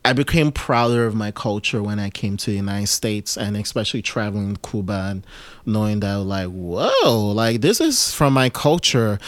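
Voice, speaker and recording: A man hosting a casual interview podcast, rambling with many fillers and restarts and lively asides. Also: fairly low pitch, moderate pace, no room sound. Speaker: podcast host.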